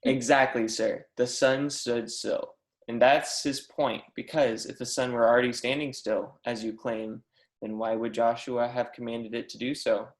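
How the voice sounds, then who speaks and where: Man playing young mathematics professor, earnest, insistent, declamatory stage reading, roomy echo, medium pitch. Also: little pitch movement, measured pace, lightly acted. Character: eager, persistent young mathematics professor pleading his case. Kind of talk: amateur readers theatre script reading.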